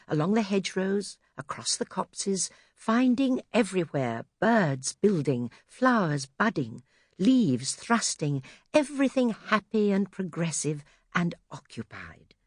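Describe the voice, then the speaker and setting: A woman's voice: on the low side, a little mellow, dry recording. A woman narrating a children's audiobook expressively from a script, close to the microphone. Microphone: close studio microphone.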